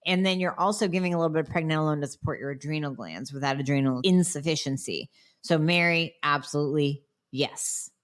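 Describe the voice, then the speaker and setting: A woman's voice: deep voice, a little fast. A woman doctor hosting a podcast, answering listener questions in a blunt, emphatic way with sarcastic asides, sounding nasal as she gets over a cold. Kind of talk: solo podcast Q&A.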